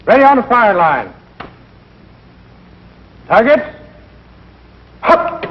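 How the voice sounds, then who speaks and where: A man's voice: very high-pitched, very animated, drawn-out words. A man calls out firing range commands and counts in short, clipped phrases. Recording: dull, band-limited old training film soundtrack.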